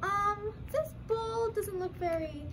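A woman's voice: very high voice, slow pace. A young woman voicing a polite, hungry hiker puppet in a scripted puppet show. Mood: polite, hesitant. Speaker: student puppeteer. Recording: faint background noise.

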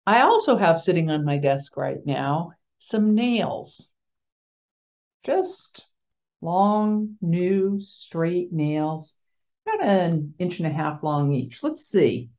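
A woman in her fifties, a chaplain giving a gentle, playful children's chapel talk from a small office over a webcam. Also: fairly low pitch, big pitch swings. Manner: gentle, playful, slow and clear like talking to children. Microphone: webcam video call. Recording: slightly dull lo-fi webcam audio.

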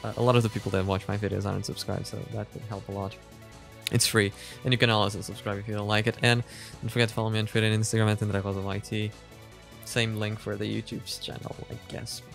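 Bright-voiced young man reacting on camera. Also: lowish voice, gravelly, soft background music. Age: 20s. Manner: halting, animated, laughs easily. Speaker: host of a reaction video series. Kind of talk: casual reaction commentary. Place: small room at home, indoors. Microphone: close boom-arm studio mic.

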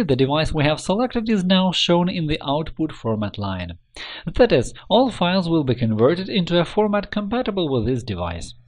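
A man reading a scripted software tutorial in an instructional, step-by-step manner. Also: a little high.

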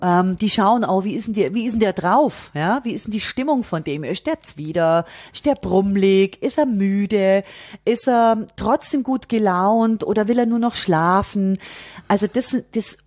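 A woman's voice: mid-range voice, normal speed, a little mellow. A middle-aged woman, explaining from experience as an interview guest, often using questions and quoted dialogue. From Bavaria, Germany. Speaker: podcast guest, herbalist.

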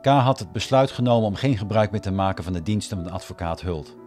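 Vrij lage mannelijke hoorspelverteller. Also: male voice, lowish voice, lively intonation, quick pace, darkish tone, soft background music. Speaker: narrator. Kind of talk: scripted radio drama narration.

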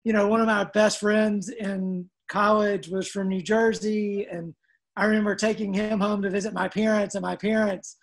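Woman from rural south georgia, mid pitch, thinking out loud in a group chat on video call. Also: little pitch movement, moderate pace. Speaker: discussion participant. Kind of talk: reflective personal sharing in group discussion.

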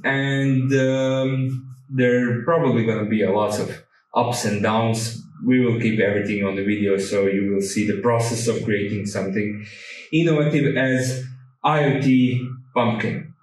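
A man hosting a casual video introduction in a halting, unscripted way. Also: mid-range voice, steady tone, darkish tone. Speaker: host. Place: large vaulted workshop room indoors. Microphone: distant camera microphone. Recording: echoey room, distant mic, faint noise.

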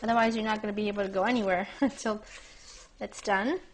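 A young woman hosting a cooking demonstration in her home kitchen, chatting brightly and speaking straight to her viewers. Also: medium pitch, steady tone, fast, noisy background. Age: young adult. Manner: bubbly, chatty, addresses viewers directly. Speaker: cooking show host. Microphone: lapel mic.

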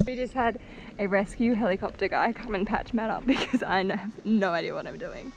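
A woman's voice: medium pitch, fairly quick, muffled recording. A woman in her twenties chatting casually and easily for a travel vlog, outdoors on a camera mic. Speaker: travel vlogger. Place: mostly outdoors at campsites. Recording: clear background noise outdoors.

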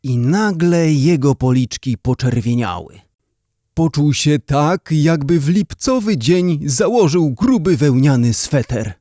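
Unhurried male storyteller with expressive intonation. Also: a little high, dry recording, studio quality. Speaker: narrator. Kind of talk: scripted children's story narration.

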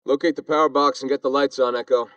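An actor playing an intense, profane American Marine, clipped and urgent, in video game battle chatter. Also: male voice, mid-range voice, fairly even intonation, fast, fairly bright tone, clean tone, dry recording, natural voice. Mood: focused.

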